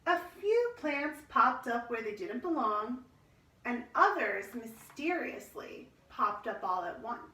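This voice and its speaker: A woman reading a children's storybook aloud in an expressive, measured storytelling manner. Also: a little high. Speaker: storybook reader. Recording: roomy echo, distant microphone.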